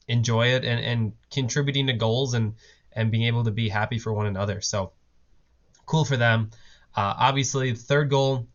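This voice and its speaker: A man with a low, slightly hoarse voice hosts a casual fan podcast on his own. He rambles easily, dropping in fillers and restarting his sentences. The sound is dull and lo-fi, like a basic home mic. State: hoarse from a lingering cough. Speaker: podcast host. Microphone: desk mic, on video.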